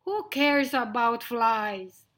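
A woman's voice: fairly high pitch, expressive, extremely slow, fairly bright tone, heavily acted. A woman voicing a big, boastful, grumpy male lion in a children's storybook, growling and blustering with scorn. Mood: mocking.

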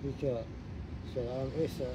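A man's voice: mid-range voice, flat delivery, moderate pace, heavy background noise. A man traveling with friends, chatting casually and asking a lot of curious questions. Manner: curious, asks many questions. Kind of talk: casual conversation.

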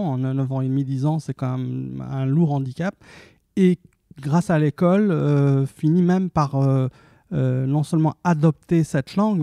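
A man in his fifties from Algeria, an author answering interview questions haltingly, with many restarts, holding a handheld mic on a stage indoors. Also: mid-range voice, creaky, mellow, no room sound. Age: 50s. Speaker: author guest. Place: cultural institute stage, indoors.

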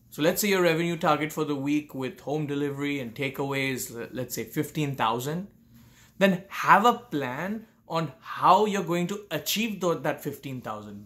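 A man's voice: fairly high pitch, a bit bright. A man in his forties gives earnest business coaching straight to camera from a room at home, asking many rhetorical questions. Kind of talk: direct-to-camera advice talk. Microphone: camera facing him at close range.

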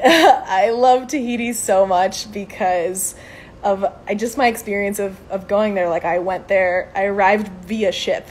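A woman's voice: mid-range voice, a little hiss or hum. Upbeat woman chatting on a phone live stream. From Venezuela. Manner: chatty, upbeat, many fillers like "um" and "like". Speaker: live stream host answering viewer questions. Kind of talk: casual live chat. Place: outdoors by a brick building. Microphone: phone camera at arm's length.

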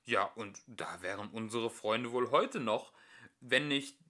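A young man reads a children's story aloud in a bright, clear-cut tone. His delivery is expressive and lively in pitch, like an amateur audiobook narrator telling a tale to a child. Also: slow pace.

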